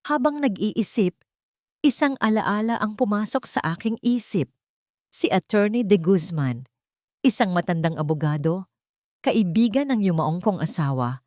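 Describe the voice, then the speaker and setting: A woman's voice: mid-range voice, no room sound, clean studio sound. A woman reading a scripted dramatic audio story as its first-person narrator.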